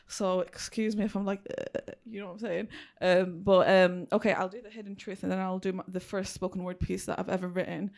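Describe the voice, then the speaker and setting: A woman's voice: medium pitch, little pitch movement, fairly quick, gravelly, hardly any pauses, darkish tone, no room sound. Young woman, 20, doing spoken word sitting down, bit shaky, chatty asides, dry close mic. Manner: chatty, self-deprecating asides. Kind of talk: performing spoken word poetry. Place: panel event in a city venue. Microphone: handheld mic, seated.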